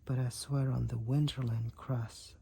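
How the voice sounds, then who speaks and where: A man voicing a devoted, protective vampire boyfriend with courtly old-fashioned phrasing and a husky, creaky voice, in an intimate roleplay audio. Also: medium pitch, flat delivery, a little slow, dark tone, no echo, exaggerated voice. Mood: worried, adoring. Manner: archaic, courtly phrasing, tender endearments. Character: devoted, overprotective young-looking male vampire boyfriend, 128 years old.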